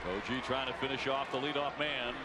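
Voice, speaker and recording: A man's voice: mid-range voice, fairly quick. A man giving live baseball commentary as a radio announcer. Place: stadium broadcast booth. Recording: noisy radio broadcast over loud crowd. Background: loud crowd.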